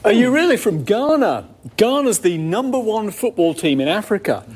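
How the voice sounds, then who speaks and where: A man's voice: high voice, sing-song, normal speed, a little mellow, husky. Man, 40s, enthusiastic, scripted intro. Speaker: sports expert.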